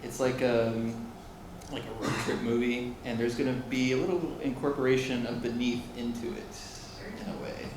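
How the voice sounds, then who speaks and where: Man, actor and tour guide, loud and jokey, echoey theater with steady noise. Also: mid-range voice, normal speed.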